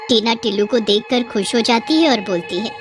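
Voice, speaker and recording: A woman's voice: a little high, expressive, over music. A woman storyteller delivering scripted narration for a tale.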